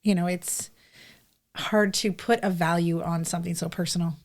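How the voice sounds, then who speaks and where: Lowish-voiced woman in casual chat. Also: fairly even intonation, moderate pace. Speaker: podcast co-host.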